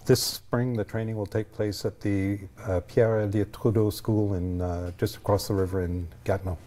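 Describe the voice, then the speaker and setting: A man's voice: fairly low pitch, little pitch movement, normal speed, mellow. A man in his sixties giving explanations as a guest in a television interview. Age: 60s. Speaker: ski patrol leader, interview guest. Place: TV studio, indoors. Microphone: lapel mic.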